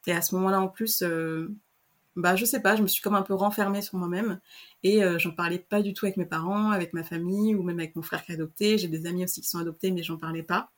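A woman's voice: fairly low pitch, steady tone, fast. Hesitant young woman, interview guest. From Madagascar. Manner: hesitant, many fillers and restarts. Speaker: podcast guest. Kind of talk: casual interview chat.

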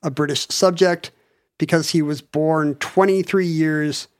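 A man's voice: fairly high pitch, steady tone, unhurried, clean tone, dry recording, clean studio sound. A man co-hosting a podcast in casual chat, wry and joking, often stopping to restart his sentences. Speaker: podcast co-host.

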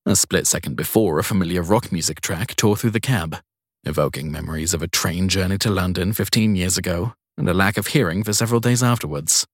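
A man's voice: low-pitched, a little fast, slightly rough, dry recording, clean studio sound. A man reading a crime story as a scripted audiobook narration.

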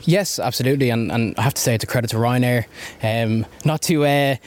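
Young man, recruiter, eager and chatty, mid-pitched voice. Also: fairly quick. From Ireland. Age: young adult. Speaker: airline recruitment officer. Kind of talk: interview.

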